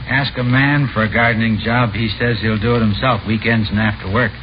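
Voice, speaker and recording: Male actor as curt working-class gardener, stubborn, rapid speech, vintage radio drama, noisy recording. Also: fairly low pitch, steady tone, close to an everyday voice. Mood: blunt. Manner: curt, colloquial. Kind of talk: 1950s radio drama acting. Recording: muffled vintage radio recording, clear background noise.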